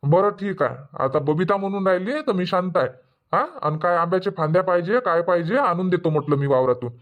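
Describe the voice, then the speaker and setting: A man's voice: sits high, brisk, fairly bright tone. A man voicing an irritable, argumentative village husband in a comedy cartoon, grumbling in a Varhadi Marathi accent. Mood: exasperated. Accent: Varhadi Marathi (Vidarbha).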